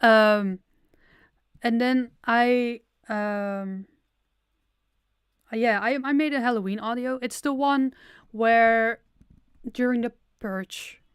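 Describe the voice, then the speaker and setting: Giggly young woman, smooth voice. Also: fairly high pitch, fairly even intonation, slow, pauses often, no echo, clean studio sound. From Netherlands. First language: Dutch. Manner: chatty, giggly, self-deprecating. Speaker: audio creator streaming a Q&A. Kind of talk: casual livestream Q&A.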